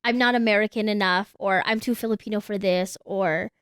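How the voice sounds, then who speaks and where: A young adult woman co-hosting a podcast in casual chat, animated, swearing freely and acting out quotes. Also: mid-range voice, a little fast, smooth, no room sound, clean studio sound. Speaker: podcast co-host.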